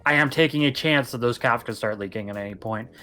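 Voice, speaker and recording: A man's voice: mid-range voice, quick pace, bright, forceful tone, soft background music. A young man co-hosting a casual podcast, rambling and emphatic as he talks at length. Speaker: podcast co-host. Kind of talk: casual chat.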